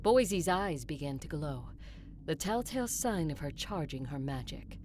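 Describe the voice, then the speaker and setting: A woman's voice: low-pitched, lively intonation, unhurried. A woman playing a battle-hardened, determined vampire conqueror who narrates and argues bluntly in an audio drama. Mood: intense narrating. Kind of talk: audio drama acting and first-person narration. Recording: clear background noise, ambience.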